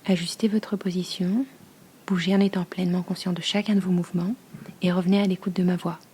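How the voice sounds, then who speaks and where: A woman leads a guided meditation as a yoga nidra teacher, speaking slowly in a hushed, soothing manner with long pauses and a soft, breathy voice. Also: fairly low pitch, little pitch movement.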